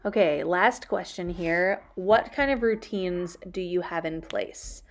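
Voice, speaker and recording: A woman's voice: lowish voice, slow pace. A woman in her thirties, a homemaking vlogger, answering questions casually in a candid, reflective, explanatory way.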